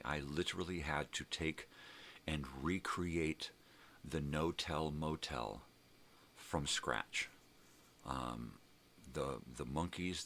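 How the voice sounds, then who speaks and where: Very deep-voiced older man chatting casually. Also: pushed and bright, a little hiss or hum. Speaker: host of a daily morning vlog. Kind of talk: casual rambling chat.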